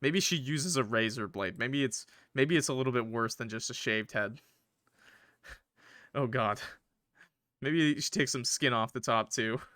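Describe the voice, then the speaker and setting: A man's voice: medium pitch, measured pace, pauses often, sharp tone, no room sound, clean studio sound. A man streaming a game with casual, jokey, slangy commentary. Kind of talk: casual commentary mixed with read-aloud narration.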